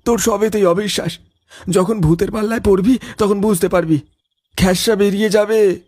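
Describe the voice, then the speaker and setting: A man voicing a frightened, superstitious young villager in a cartoon ghost story, husky and hesitant, earnest and nervous. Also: high-pitched, fairly even intonation, normal speed, a little mellow, no echo, clean studio sound. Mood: annoyed. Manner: hesitant, stammering in fear.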